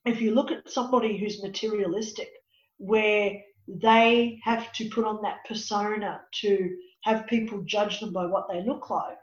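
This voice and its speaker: A woman in her fifties with a raspy voice, speaking as an interview guest and life coach. She talks in an emphatic, preachy way and often says "you know.". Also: medium pitch, darkish tone. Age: 50s. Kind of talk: earnest personal conversation. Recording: echoey, dull lo-fi audio.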